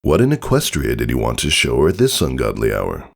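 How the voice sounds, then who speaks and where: A man with a low voice narrates a story from a script. There is fry in his tone, and his delivery moves expressively up and down. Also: wildly swooping pitch, a little fast. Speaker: fan fiction reader, narrator.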